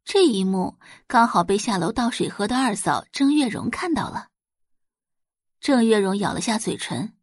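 A woman reading scripted story narration as an expressive audiobook narrator. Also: medium pitch, moderate pace, dry recording, studio quality.

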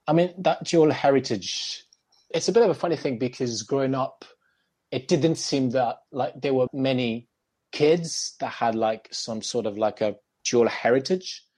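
A man's voice: medium pitch, measured pace, darkish tone, slightly rough. A young French man, a guest actor in a podcast interview, talking in a loose, reflective way with many fillers. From France, Paris.

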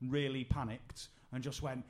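A man's voice: mid-range voice, little pitch movement, moderate pace. A man hosting a casual podcast, running the segments and telling anecdotes with laughs. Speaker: podcast host. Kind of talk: casual chat.

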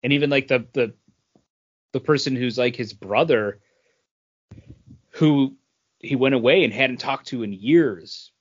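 A man's voice: mid-range voice, unhurried, pauses often, highs cut off. A man in casual discussion as a podcast co-host, explaining and thinking aloud. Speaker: comic book podcast co-host. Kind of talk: casual book discussion chat.

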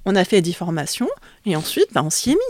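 A woman's voice: on the low side, sing-song, fast, no echo, studio quality. A middle-aged woman whose first language is Vietnamese, a writer being interviewed, speaking thoughtfully with frequent hesitations and restarts. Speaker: author guest. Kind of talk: conversational interview.

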